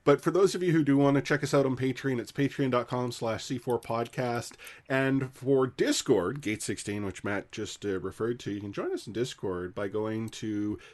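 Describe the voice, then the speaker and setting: A man hosting a podcast, reading out support and community plugs in a steady manner. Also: mid-range voice, moderate pace. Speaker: podcast host.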